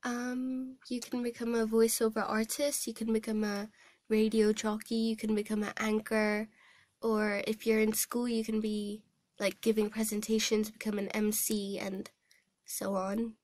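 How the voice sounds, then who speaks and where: High-voiced young woman, hesitant trainee. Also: little pitch movement, a little slow, a little mellow. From Hong Kong. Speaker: voice-over trainee. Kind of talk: casual interview answers. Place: recording studio.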